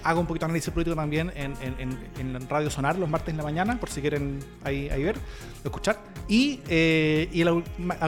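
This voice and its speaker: A man with a Chilean accent talking casually as an interview guest, halting and repeating words as he goes. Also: on the high side. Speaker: interview guest, political analyst. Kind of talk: casual conversational self-introduction. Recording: clear music in the background.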